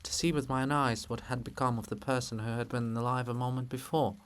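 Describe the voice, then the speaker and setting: A man voicing a dignified, respectful male monument of a scholar in a formal, measured manner for a play reading. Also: mid-range voice, little pitch movement, clear, resonant tone, close to an everyday voice. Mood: grave, grieving.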